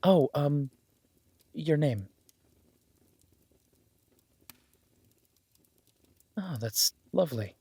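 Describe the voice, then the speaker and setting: A man playing a young werewolf duke in an intimate audio roleplay, modest and earnest, speaking informally and haltingly as if unsure of himself. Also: on the high side, animated, mellow, slightly rough, no echo, exaggerated voice. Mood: gentle, warm. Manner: hesitant, self-deprecating, informal, halting.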